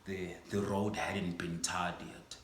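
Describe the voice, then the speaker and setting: A stage actor performing a theatrical monologue as an aging male schoolteacher, proud and grieving, moving from hushed reflection to impassioned outbursts. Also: low-pitched, flat delivery, slow, roomy sound, a little hiss or hum. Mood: wistful.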